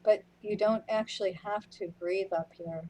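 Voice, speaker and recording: A woman playing a wry, weary companion in a radio play, speaking in a deliberate way. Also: mid-range voice, fairly even intonation, mellow, lightly acted, poor audio. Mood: wry, unruffled. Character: unhurried, matter-of-fact companion, wry and weary.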